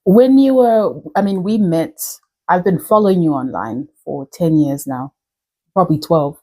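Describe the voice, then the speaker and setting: A woman's voice: on the low side, expressive, measured pace, dark tone. A woman hosting a radio interview, asking questions with enthusiasm. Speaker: radio host.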